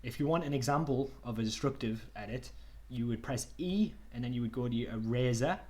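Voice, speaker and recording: A man's voice: mid-range voice, fairly quick. Laid-back young man teaching on a call. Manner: relaxed, chatty, says "okie dokie" and "kind of". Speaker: online workshop tutor. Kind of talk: casual screen-share tutorial.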